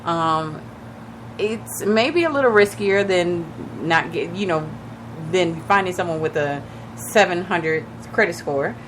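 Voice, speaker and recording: A woman with a fairly low, raspy voice that still sounds a bit shaky as she recovers from losing it. She talks casually to listeners on a radio show, giving advice with plenty of fillers. The broadcast has a clear layer of noise behind her. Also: slow. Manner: chatty, advising listeners directly with many fillers. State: recovering from lost voice, a little shaky. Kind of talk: casual radio talk on real estate. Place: radio studio.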